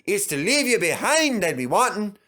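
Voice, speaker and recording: Man doing a Scottish steam engine, raised pitch, blunt, scolding, fiery but loyal, storybook character. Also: big pitch swings, moderate pace, pushed and bright, full character voice. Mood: angry scolding.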